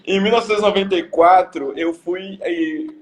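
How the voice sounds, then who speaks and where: A man's voice: sits high, steady tone, moderate pace. A man in his forties from Recife, a guest talking in an easygoing, conversational way over a video call. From Recife, Pernambuco, Brazil. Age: 40s. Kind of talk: casual interview chat.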